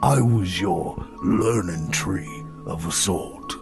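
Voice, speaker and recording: A man playing a gentle giant living snowman in an audio storybook, a kindly mentor speaking in a solemn, avuncular way. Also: low voice, slow pace. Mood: fatherly advice. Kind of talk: audio storybook character acting. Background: music.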